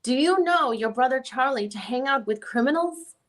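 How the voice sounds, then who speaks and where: A woman's voice: high voice, expressive, normal speed. A woman reading the part of a persistent defense lawyer questioning a witness in a dramatic reading of a deposition, often rephrasing her questions. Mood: pressing, methodical. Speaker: reader playing a deposing attorney.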